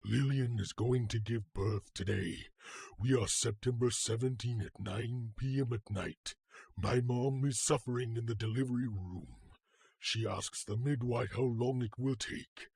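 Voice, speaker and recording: Husky, creaky male narrator. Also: medium pitch, measured pace, a little mellow, dry recording. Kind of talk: scripted narration.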